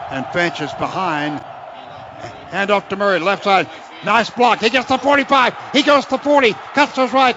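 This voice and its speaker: An American man calling live college football on radio, describing each play in clipped phrases and lifting his voice when the action builds. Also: a little high. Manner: clipped running description, rising into excited calls on big plays. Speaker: radio play-by-play announcer for the Oklahoma team. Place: outdoor football stadium broadcast. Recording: dull, noisy stadium radio broadcast.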